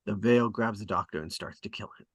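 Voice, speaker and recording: A man's voice: on the low side, fairly quick, slightly rough, dry recording. A man reading out scene directions as scripted narration at a drama table read. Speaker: reader of stage directions.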